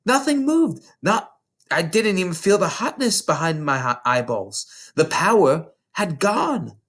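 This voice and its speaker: An adult reader voicing a bright, eager little girl in a storybook, earnest and excitable. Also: medium pitch, unhurried, pitched above the performer's own voice, heavily acted. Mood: puzzled, earnest. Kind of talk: character voice in storybook reading.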